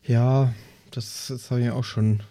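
Mellow-voiced man, very dry sound. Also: medium pitch, flat delivery, takes it slowly, no echo. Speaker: tabletop role-playing show player. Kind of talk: casual chat.

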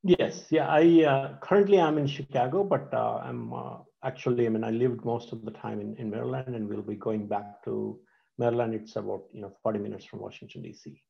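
A man from India, a company founder being interviewed, answering casually and hesitantly, with many fillers and soft, trailing endings. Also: mid-range voice, steady tone, a little fast, gravelly. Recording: dull lo-fi sound.

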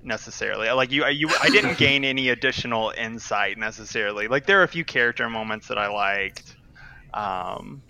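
A man's voice: on the low side, fairly quick, gravelly, sharp tone, slight background noise, low-quality recording. A man co-hosting a podcast, chatting casually and giving opinions in a hedging, conversational way. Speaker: podcast host. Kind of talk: casual discussion of manga.

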